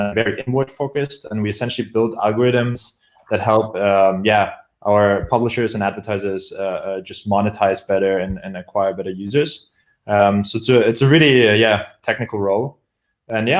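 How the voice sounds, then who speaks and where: German-accented man, echoey room. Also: lowish voice. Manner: rambling, many fillers and restarts. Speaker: guest product manager. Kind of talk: casual interview chat.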